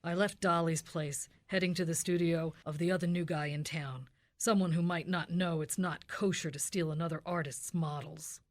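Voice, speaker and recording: Police radio spoof: hard-boiled detective narrator played by a woman, slightly low register, flat deadpan, clipped and businesslike. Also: normal speed, few pauses, slight background noise, lightly acted. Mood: dry, official. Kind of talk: parody of 1950s police radio drama.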